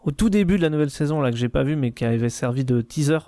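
A man's voice: fairly high pitch, lively intonation, racing, dark tone, no room sound, studio quality. A man chatting casually and enthusiastically as a podcast guest, often repeating his words as he goes. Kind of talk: casual enthusiastic chat about cartoons.

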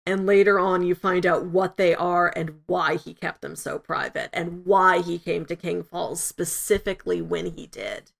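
Woman on a review podcast, just chatting, normal pitch, acts out little quotes while explaining. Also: steady tone, moderate pace, gravelly, hardly any pauses. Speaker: podcast co-host reviewing an audio drama. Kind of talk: casual discussion chat.

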